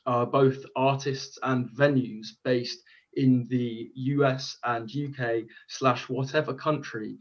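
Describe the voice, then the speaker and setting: A man's voice: medium pitch, steady tone, takes it slowly. Chatty Irish man, muffled audio. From Ireland. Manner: chatty, jokey, enthusiastic. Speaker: guest, media company co-founder. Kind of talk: casual interview chat. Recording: dull lo-fi audio.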